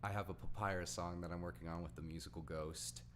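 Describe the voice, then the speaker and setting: Male podcast host, low voice, rambling enthusiastic fan talk, faint background noise. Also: fairly even intonation, quick pace. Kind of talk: casual podcast chat.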